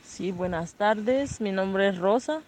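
A woman's voice: mid-range voice, animated, takes it slowly, darkish tone. A woman from Guatemala with a Guatemalan accent, speaking as an organization's representative in an interview. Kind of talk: formal self-introduction in interview.

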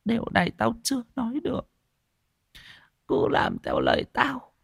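A stern old mother-in-law in a village audio story played by a woman. Her voice is high and creaky, bluntly giving cryptic, weary orders. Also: wildly swooping pitch, moderate pace, dry recording, studio quality, heavily acted. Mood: ominous, commanding.

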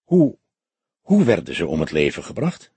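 A man's voice: low-pitched, wildly swooping pitch, normal speed, vocal fry, warm tone, husky, no room sound, voice pushed down, exaggerated voice. A man voicing a composed, proud and stern newly widowed woman in an audiobook crime story. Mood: guarded.

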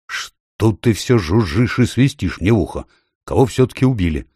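A man's voice: fairly low pitch, lively intonation, normal speed, warm tone, husky, no echo, studio quality. A man voicing a grumpy, hard-of-hearing old male dwarf lord in a storybook, muttering irritably. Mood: irritated, confused. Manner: irritable muttering, mishearing and grumbling.

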